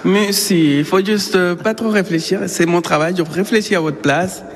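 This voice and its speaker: High-voiced man. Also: moderate pace, in a large hall, slight background noise. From Venezuela. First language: Spanish. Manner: cheerful, laughs often, counts beats out loud. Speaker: dance teacher. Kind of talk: casual interview and dance lesson. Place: dance studio with parquet floor. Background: dance music playing.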